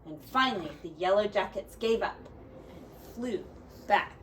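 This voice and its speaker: Slow, animated woman reading a children's storybook. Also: medium pitch, slight background noise. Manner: dramatic, deliberate, with quiet asides to young listeners. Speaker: teacher reading a storybook aloud. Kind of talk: expressive read-aloud of a children's folk tale.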